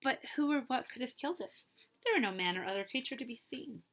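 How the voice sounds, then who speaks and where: A woman reading a children's story aloud as a librarian would, expressively and with suspense, dropping to a hush and stretching out key phrases. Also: sits high, expressive, fast.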